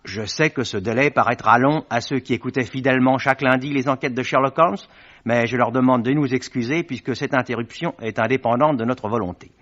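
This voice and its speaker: A man's voice: mid-range voice, a little fast, a bit bright. A man presenting a radio programme in a formal, courteous, scripted 1950s style, on a dull vintage recording. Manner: courteous, ceremonious.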